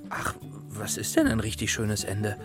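A man's voice: lowish voice, wildly swooping pitch, measured pace, over music. A man playing a timid, bookish young scholar in a children's radio drama, kindly, earnest and a little hesitant. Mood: gentle, earnest. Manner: hesitant, earnest, slightly fussy.

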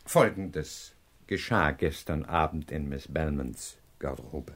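An actor playing a self-assured professor detective in a radio crime drama, explaining precisely and with pedantic emphasis. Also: male voice, very deep voice, slow, fairly bright tone, husky, full character voice. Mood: lecturing, triumphant.

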